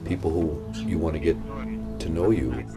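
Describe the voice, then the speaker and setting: Deep-voiced thoughtful man. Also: normal speed, music in the background. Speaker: interviewee. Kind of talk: reflective interview.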